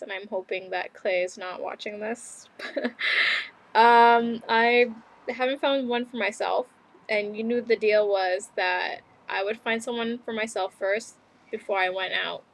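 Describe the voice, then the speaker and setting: Slow, gravelly-voiced young woman vlogging. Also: mid-range voice, fairly even intonation. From Canada. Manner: rambling, many fillers and laughs. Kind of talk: casual video diary.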